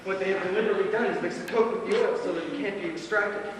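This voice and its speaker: A man plays a smug, pompous druggie who fancies himself a chemist, in a live stage play. His high voice explains everything pedantically. It comes from far off in a reverberant, noisy hall. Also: fairly even intonation, racing, natural voice. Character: smug male drug user, pompous self-styled amateur chemist. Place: stage of a small theatre.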